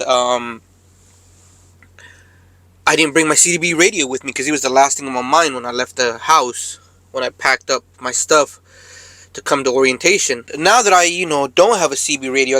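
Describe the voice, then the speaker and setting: A man's voice: medium pitch, fairly bright tone, no echo. A man talking casually to viewers as a truck driver vlogger, in a laid-back, rambling, slangy way. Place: cab of a parked truck.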